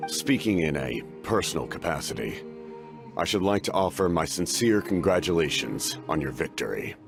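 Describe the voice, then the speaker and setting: A man's voice: low voice, big pitch swings, moderate pace, gravelly, music in the background, full character voice. A man playing a grave, courteous military captain in a video game, speaking formally and with restraint. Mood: grave, respectful. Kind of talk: scripted video game voice acting.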